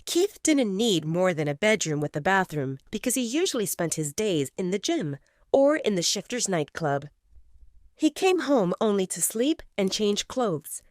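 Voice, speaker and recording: A woman narrating a scripted audio drama in an expressive, intimate storytelling manner. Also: medium pitch, dry recording, clean studio sound.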